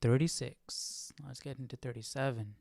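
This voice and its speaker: A man's voice: medium pitch, steady tone, normal speed, gravelly, dark tone. A man hosting a livestreamed study, talking casually and questioning as he muses aloud to himself. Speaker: host of a Bible study livestream.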